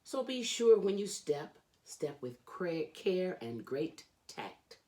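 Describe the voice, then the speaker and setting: A woman reading a children's storybook aloud as host, with theatrical, emphatic delivery and drawn-out phrases. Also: fairly low pitch, sing-song, warm tone.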